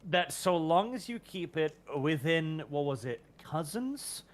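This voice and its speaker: Animated, wry Norwegian man, British-sounding English. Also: fairly high pitch. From Norway. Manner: mock-serious, wry, halting comic delivery. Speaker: guest on a game stream. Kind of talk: casual chat. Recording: music behind the voice. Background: music.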